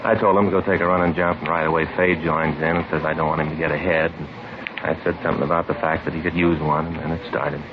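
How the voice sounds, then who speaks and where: Weary, fast-talking, grumbling cop. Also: male voice, a bass voice, flat delivery. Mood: weary, aggrieved. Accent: American. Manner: weary, chatty, aggrieved storytelling. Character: tired, put-upon, grumbling police detective partner. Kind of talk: 1950s radio drama acting. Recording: muffled, hissy vintage radio recording.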